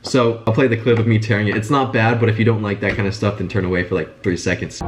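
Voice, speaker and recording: A man's voice: lowish voice, steady tone, very noisy. A young man telling his story in a casual vlog while congested and sick with a stuffy nose. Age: young adult. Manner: fast, chatty, informal. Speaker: business vlogger.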